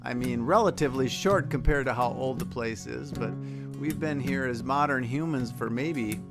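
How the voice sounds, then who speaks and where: A man's voice: mid-range voice, moderate pace. An American man from Minnesota talking casually and conversationally as a singer-songwriter introducing a song and teaching a sing-along. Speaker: singer-songwriter and guest performer. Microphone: performing over an online video stream. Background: acoustic guitar being strummed and tuned.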